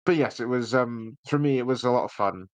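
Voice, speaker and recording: A man's voice: medium pitch, fairly quick, clean tone, no room sound. A man hosting a podcast, chatting casually and enthusiastically through a review with hesitant phrasing. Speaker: podcast host reviewing audio drama.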